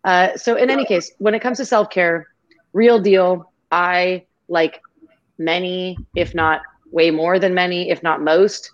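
A woman with a low voice speaks as a guest over a video call. She talks in an effusive, grateful and rambling way. The audio is dull and lo-fi. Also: fairly even intonation, unhurried. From half Greek, half Irish family. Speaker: podcast guest. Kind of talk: casual video-call interview.

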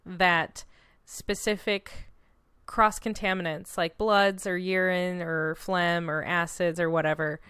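A woman's voice: lowish voice, flat delivery, slow, fairly bright tone, clear, resonant tone, dry recording, studio quality. A woman in casual, informal conversation, with a wry and playful manner. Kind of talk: casual chat.

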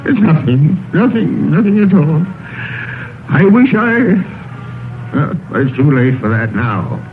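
A man's voice: a little high, sing-song, slow pace, narrow, tinny audio, a little hiss or hum. A man acting an old, emotional, remorseful miser in a theatrical old-time radio drama style, exclaiming and repeating his words. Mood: regretful. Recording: old radio broadcast, thin band-limited, music behind.

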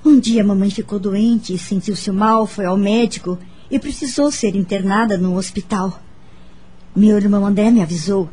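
A woman playing a gentle, wounded but forgiving adult daughter who recounts her family past in a radio drama, measured and heartfelt. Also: medium pitch, husky, natural voice. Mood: sorrowful, reflective. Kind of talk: dramatised first-person narration, radio drama. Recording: background music under the voice.

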